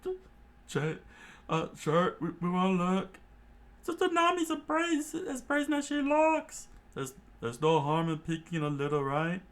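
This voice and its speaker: A man's voice: sing-song, measured pace, fairly bright tone, exaggerated voice. A man doing whiny yelping pirate sidekicks. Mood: giddy. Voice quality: strained high yelping. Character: panicky young male pirate sidekicks, whiny.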